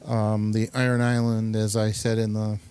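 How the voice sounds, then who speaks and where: A man hosting a show, chatting and commenting casually in an informal, halting way. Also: on the low side, completely flat delivery, darkish tone, smooth. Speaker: show host. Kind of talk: casual studio chat and commentary.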